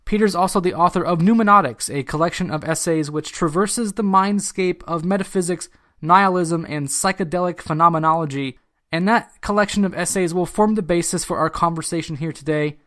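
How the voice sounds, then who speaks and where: Higher-pitched American man hosting a podcast. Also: brisk, pushed and bright. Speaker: podcast host and interviewer. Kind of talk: podcast introduction and casual interview.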